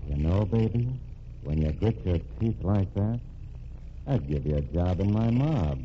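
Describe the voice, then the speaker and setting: A man's voice: low voice, animated, measured pace. An actor playing a cocky, bitter jailed gangster in 1940s radio drama style, with slangy tough-guy talk. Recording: dull lo-fi old radio recording with faint hiss.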